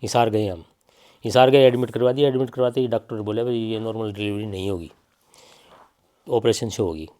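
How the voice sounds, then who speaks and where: A man's voice: lowish voice, steady tone, a little fast, pauses often, warm tone. A middle-aged man with a Haryanvi accent gives spoken testimony in an interview, telling it insistently, with repetition and reported speech. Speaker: bereaved father giving an interview.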